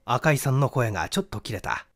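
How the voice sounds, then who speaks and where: A man's voice: medium pitch, sing-song, a little fast, fairly bright tone, no room sound, studio quality. A man narrating a mystery novel as a scripted audiobook reading.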